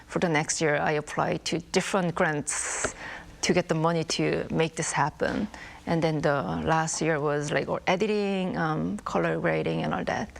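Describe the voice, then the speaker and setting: Korean woman filmmaker in a studio interview, low-ish voice with lots of vocal fry, talks with plenty of ums. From South Korea. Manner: thoughtful, many fillers and restarts. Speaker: filmmaker guest. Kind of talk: conversational interview answers. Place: broadcast studio.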